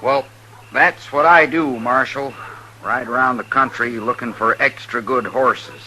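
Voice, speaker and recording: An actor playing an easygoing drifter who trades horses, folksy and rustic, in a 1950s radio western. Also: male voice, medium pitch, a little slow, sharp tone. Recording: noisy vintage 1950s radio recording.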